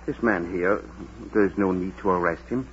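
Patient parish priest: low, breathy-rough voice, kindly and reflective, dull vintage radio. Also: male voice. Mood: gently persuasive. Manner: thoughtful, measured, kindly. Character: gentle, wise, patient male parish priest. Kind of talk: Golden Age radio drama acting. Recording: dull old radio drama recording.

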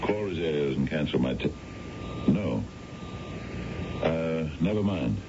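A man's voice: rumbling low, lively intonation, unhurried, heavy background noise. An actor playing a dignified, smitten investment adviser in a 1970s radio drama, courtly and hesitant in his formal phrasing. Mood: courtly, flustered. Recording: dull vintage radio recording with music.

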